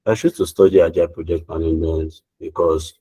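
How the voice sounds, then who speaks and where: A man's voice: deep voice, flat delivery, a little fast, mellow, breathy edge, no room sound. A man answering mock student visa interview questions politely and formally, with some hesitation.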